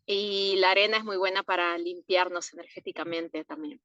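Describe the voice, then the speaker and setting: A woman from Peru, an herbalist answering interview questions in an unhurried, thoughtful way with soft laughs. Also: on the low side, steady tone, clean tone, no echo, muffled recording.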